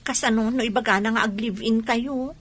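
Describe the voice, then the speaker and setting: A woman's voice: fairly high pitch, fairly even intonation, a little fast, breathy edge. A woman playing a wary, practical young roommate in a radio comedy drama. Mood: questioning.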